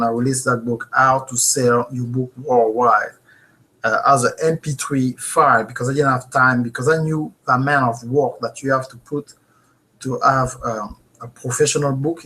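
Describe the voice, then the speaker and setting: A man with a French accent leads a live online class from his home office, and his voice comes through a webcam mic. He is earnest and animated and keeps checking in with the viewers. His pitch sits in a middle range for a man. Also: normal speed, rough recording. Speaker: host. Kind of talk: live webinar teaching.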